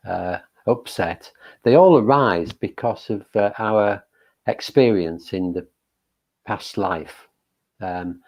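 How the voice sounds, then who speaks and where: A man with a very deep, low voice leads a meditation session with a reflective Buddhist talk. He speaks slowly and gently, thinking aloud as he goes, with frequent pauses and fillers. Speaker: meditation leader.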